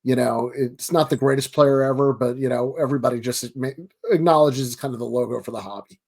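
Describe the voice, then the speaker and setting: A man's voice: mid-range voice, steady tone, brisk, darkish tone. A man in his thirties with a Baltimore accent, hosting a casual interview over a video call from a home office, jokey and chatty, stumbling over his words now and then. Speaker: host. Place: home office with framed pictures. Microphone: webcam video call.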